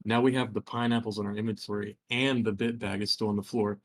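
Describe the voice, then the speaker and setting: A man with a low voice explains a game trick calmly, step by step, as he reads his part in a group reading. His delivery is even and plain. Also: fast, no room sound. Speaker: co-reader.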